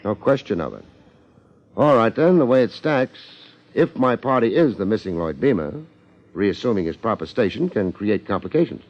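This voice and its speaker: An actor playing a wry, hard-boiled American detective with deadpan wisecracks, in 1950s radio drama style. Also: male voice, lowish voice, normal speed. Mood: sardonic, pressing. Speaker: detective and narrator. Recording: dull, band-limited 1950s radio recording.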